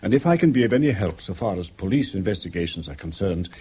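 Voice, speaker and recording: An actor playing an embarrassed, tactful senior English official in a 1970s British radio drama, formal and halting in his speech. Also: deep voice, expressive, dark tone, husky. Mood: earnest, concerned.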